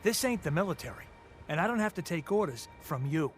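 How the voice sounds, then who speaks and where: Man playing a high-pitched, defiant militia fighter. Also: expressive, brisk, faint music behind, natural voice. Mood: suspicious, challenging. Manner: blunt, colloquial, challenging. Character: defiant, combative male militia fighter, blunt and suspicious. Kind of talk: video game voice acting.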